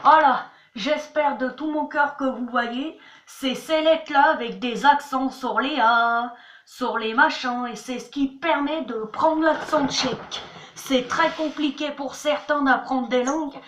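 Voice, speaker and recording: A woman's voice: high voice, steady tone, a little slow, noisy background. A woman giving a homemade language lesson, chatty, spelling words out letter by letter and repeating "voilà" and "attention". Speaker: channel host teaching words. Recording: echoey room.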